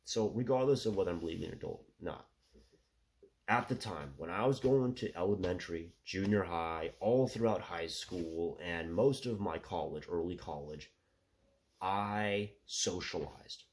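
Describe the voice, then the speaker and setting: A man in his thirties talking through a rambling casual monologue with a stuffy nose, filling every pause with "you know" and "right". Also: sits low. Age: 30s. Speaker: solo commentator.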